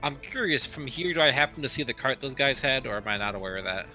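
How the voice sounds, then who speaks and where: A man's voice: mid-range voice, lively intonation, fast talker, sharp tone. A man chatting casually as a player in a tabletop roleplaying game. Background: background music.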